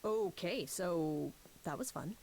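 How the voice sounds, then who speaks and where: Female game streamer: casual playthrough commentary, lowish voice, faint hiss behind. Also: animated, slow pace, warm tone.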